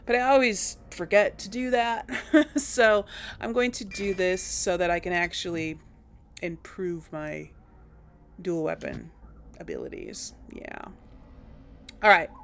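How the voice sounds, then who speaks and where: A woman casually commenting on her gameplay, thinking aloud with hesitant pauses and small chuckles. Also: on the low side, animated, slight background noise. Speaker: let's play gamer.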